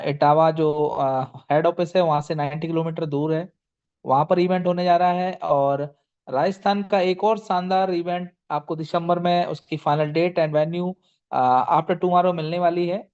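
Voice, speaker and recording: A man's voice: a little high, darkish tone, clean tone. A man hosting an online sales meeting, rousing and exhorting his team, mixing English phrases into his talk, on dull lo-fi call audio.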